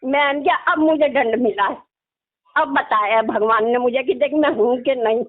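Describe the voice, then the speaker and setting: High-pitched woman on a phone. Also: moderate pace. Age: middle-aged. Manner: complaining, ends phrases with questioning tags. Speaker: caller, religious devotee. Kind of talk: casual phone conversation. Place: phone call. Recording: tinny, narrow phone line.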